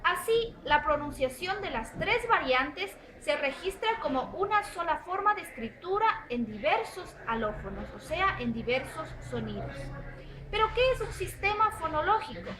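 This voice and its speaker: A woman's voice: very high voice, very animated, moderate pace, crowd noise, rough recording. A woman reading out a class presentation on phonetics, with emphatic lists and some fillers. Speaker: student presenter.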